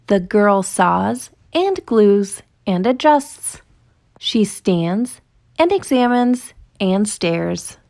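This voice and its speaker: A woman reads a children's picture book at an unhurried pace, with noticeable creak in her voice. Her delivery is expressive and deliberate, drawing out key words for young listeners. Her pitch sits in a middle range for a woman. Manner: expressive, deliberate storytelling with drawn-out emphasis. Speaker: storytime reader.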